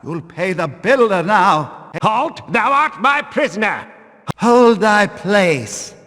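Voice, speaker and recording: A fanatical religious guard from a video game, barking archaic commands at thieves. His voice sits high and rings out slowly in a long hall reverb, stern and combative. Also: big pitch swings, sharp tone, exaggerated voice. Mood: outraged, commanding. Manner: declamatory, archaic, commanding speech. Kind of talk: video game voice acting, archaic battle barks.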